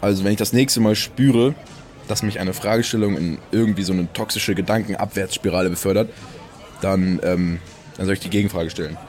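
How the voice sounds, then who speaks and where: Low-voiced, halting young man played by an actor. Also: fairly even intonation, darkish tone, faint music behind, close to an everyday voice. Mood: tentative. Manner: casual, halting, colloquial. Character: guarded young man, casual, awkwardly grateful friend. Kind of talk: naturalistic audio drama dialogue.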